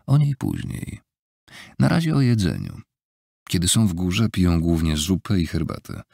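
Low gravelly man narrating an audiobook. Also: no echo, studio quality. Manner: measured, even storytelling. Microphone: close studio mic, dry.

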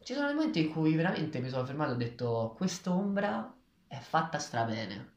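A man's voice: fairly high pitch, sing-song, fairly quick. A man in his twenties giving opinions casually and thinking aloud, picked up by a camera across a kitchen table. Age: 20s. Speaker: book reviewer. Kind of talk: casual discussion of a graphic novel. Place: small tiled kitchen, indoors. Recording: echoey room, camera at a distance.

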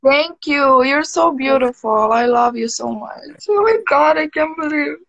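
Excited young woman from Serbia, high voice. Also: measured pace, no echo, lo-fi sound. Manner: excited, gushing. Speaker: fan joining a live stream. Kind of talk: casual chat. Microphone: phone video call.

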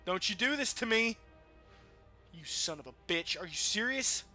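A man's voice: high-pitched, moderate pace, faint music behind. A man giving casual, profane gameplay commentary as a let's play host. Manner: profane, animated trash-talk.

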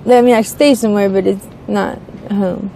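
Female street interviewee, 30s, mellow tone, halting casual delivery, some traffic noise behind. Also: medium pitch, fairly even intonation, gravelly. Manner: hesitant, halting, peppered with "like". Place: outdoors on a city street by a brick wall. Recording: outdoor street noise, passing vehicles.

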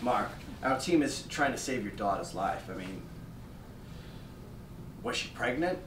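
Male actor as harried on-call doctor, blunt and halting, stage drama, recorded from afar with clear noise. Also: mid-range voice, lively intonation, reverberant, recorded from a distance, natural voice. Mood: pressing, matter-of-fact. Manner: halting, pressing with questions. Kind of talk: staged play reading. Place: theatre stage, indoors. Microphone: far camera.

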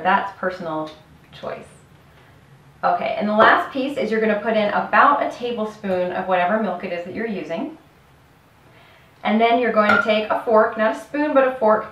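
A cheerful woman in her thirties walks the listener through a recipe, speaking straight to them like a coach. Her voice sits at an ordinary middle pitch and is picked up from a few steps away, so the kitchen's hard walls add a roomy echo. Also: distant mic. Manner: upbeat, instructional, addresses viewer directly. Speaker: health coach. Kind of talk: cooking demonstration. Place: home kitchen, indoors. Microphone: camera mic at a distance.

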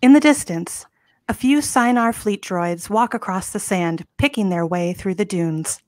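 A woman's voice: mid-range voice, a little slow, a little mellow, no room sound. A woman reading scripted stage directions as narrator of a comedy table read. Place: home room. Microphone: home video call.

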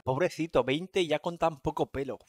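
A man's voice: on the high side, moderate pace, no echo, clean studio sound. Sarcastic young man on a headset. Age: young adult. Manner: teasing, sarcastic, laughs often. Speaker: streamer reacting to a dating show. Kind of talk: mocking reaction commentary. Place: indoor room. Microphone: headset mic.

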